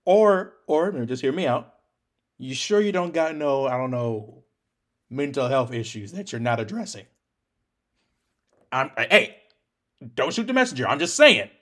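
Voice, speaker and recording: A man's voice: medium pitch, sing-song, slow pace, long pauses, fairly bright tone. A young American man giving casual, slangy reaction commentary, exclaiming often. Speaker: reaction video host. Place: small home room, indoors.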